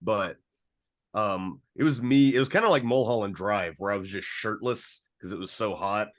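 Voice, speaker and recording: A man with a fairly low voice talks casually as a podcast host. He is earnest and rambling, often breaking off and restarting a thought as he goes. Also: normal speed. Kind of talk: casual film discussion.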